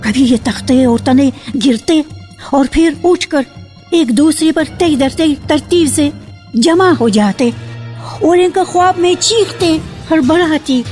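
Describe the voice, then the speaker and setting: A woman reading a literary story for radio in a measured, expressive narrating style, on an archival recording. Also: high voice. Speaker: narrator of a dramatized short story.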